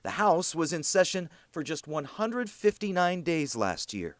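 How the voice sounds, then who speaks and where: Male tv news reporter, kinda high voice, really animated and emphatic, dry sound, scripted report read. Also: measured pace, dry recording.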